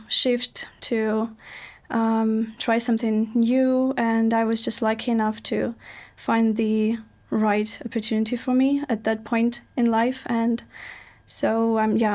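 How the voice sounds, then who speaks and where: A woman who grew up in Russia, with a fairly high, flat voice. She speaks as a podcast guest, hesitant and pausing between phrases. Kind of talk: podcast interview.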